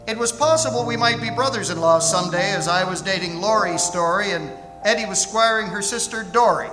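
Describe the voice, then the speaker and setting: A man's voice: high-pitched, close to an everyday voice. A man playing a war veteran private detective, narrating his case in a scripted radio play on a theatre stage. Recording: echoey theatre hall, music behind.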